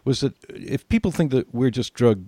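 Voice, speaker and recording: A man's voice: medium pitch, expressive, moderate pace, warm tone, breathy edge, dry recording, clean studio sound. An older man co-hosting a podcast in casual chat. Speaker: podcast co-host.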